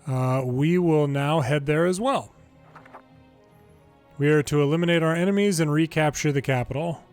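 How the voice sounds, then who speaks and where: Male game narrator, slightly high voice, reads story text aloud with casual delivery, faint music underneath. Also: moderate pace, a little mellow. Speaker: game longplay narrator reading dialogue aloud. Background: video game music.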